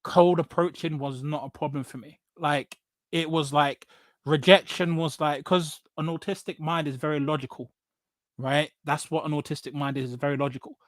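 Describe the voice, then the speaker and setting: An English man with a fairly high voice talks casually about his own experiences. He is blunt and emphatic, doubles back on phrases and tags points with "right?" The sound is dry and close. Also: steady tone, moderate pace, dry recording. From England. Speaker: podcast guest. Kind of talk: casual personal storytelling in conversation.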